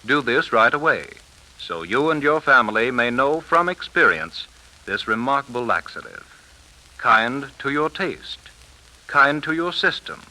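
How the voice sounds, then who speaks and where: A man's voice: mid-range voice, very animated, unhurried, sharp tone. An American man reading a 1930s radio commercial as announcer, with polished, emphatic salesmanship. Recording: scratchy 1930s radio broadcast.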